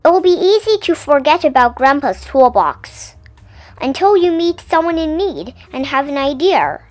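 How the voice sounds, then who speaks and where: A woman hosting her own channel, reading a children's picture book aloud with gentle, clear enunciation and playful emphasis. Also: high-pitched, big pitch swings, normal speed, no room sound. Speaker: storybook reader and channel host.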